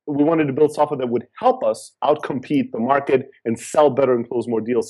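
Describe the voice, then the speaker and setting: A man from Greece, raised in Germany, answering interview questions in an energetic, talkative way. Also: on the high side. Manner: energetic, talkative, with fillers and repeats. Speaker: guest entrepreneur.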